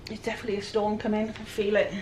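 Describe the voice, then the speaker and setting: A woman with a croaky, raspy voice, chatting casually while she works through a furniture project. She sounds a little breathless and often lets her sentences trail off quietly. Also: mid-range voice, monotone, quick pace, noisy background. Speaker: furniture flipper. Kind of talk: casual how-to chat while working.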